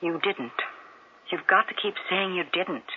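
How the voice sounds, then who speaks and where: A woman's voice: lowish voice, measured pace, a little hiss or hum, close to an everyday voice. An actress in a radio drama playing an anxious, protective wife who urgently pleads with her husband. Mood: pleading. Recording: dull old radio broadcast.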